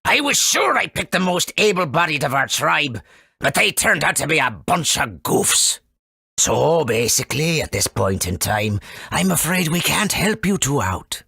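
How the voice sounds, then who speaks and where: A man voicing a folksy, cautious elder of a furry forest tribe in a video game, with a gravelly, husky voice and dramatic storytelling manner. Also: on the high side, sing-song, measured pace, sharp tone, dry recording, studio quality, exaggerated voice. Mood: sorrowful, resigned. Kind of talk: video game character acting.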